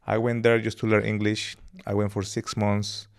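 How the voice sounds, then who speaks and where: Low smooth-voiced Colombian man. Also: moderate pace. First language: Spanish. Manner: relaxed, laughs easily, rambling anecdotes. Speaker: podcast guest. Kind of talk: casual interview storytelling.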